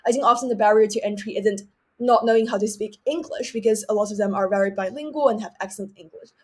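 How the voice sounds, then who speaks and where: A woman's voice: medium pitch, fast talker, breathy edge. A young woman from mainland China, a non-native English speaker, giving earnest, explanatory advice as a podcast guest and stressing points by repeating them. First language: Chinese. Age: young adult. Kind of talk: reflective interview talk with advice.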